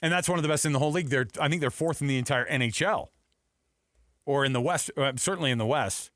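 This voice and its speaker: A man's voice: a little high, quick pace, fairly bright tone, no echo, clean studio sound. A man with an American accent co-hosting a sports radio show, analysing in an unscripted, emphatic, conversational way and repeating phrases for emphasis.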